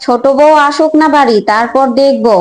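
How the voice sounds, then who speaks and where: A woman voicing a sharp-tongued, scheming eldest sister-in-law in a Bengali family cartoon. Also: sits high, fairly quick, close to an everyday voice. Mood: scornful, indignant.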